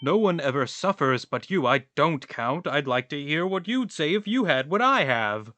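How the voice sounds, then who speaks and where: A man's voice: a little high, sing-song, normal speed, bright, forceful tone, no room sound. A man playing a talkative, philosophical vagrant in a radio play, with a wordy, pompous, theatrical delivery. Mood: exasperated.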